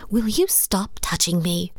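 A woman's voice: lowish voice, unhurried, dry recording, studio quality. A woman voicing a petite, defiant and evasive young woman in an audiobook story. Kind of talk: audiobook character dialogue.

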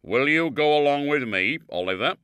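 A swelling, self-important beadle of a bygone parish, as a male reader puts him on. His voice is bright and ringing, lurching up and down in grand swoops. Every phrase is delivered with lordly, overbearing majesty, storybook style. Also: a little high, lively intonation, moderate pace, clean tone, dry recording, pitched above the performer's own voice. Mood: pompous.

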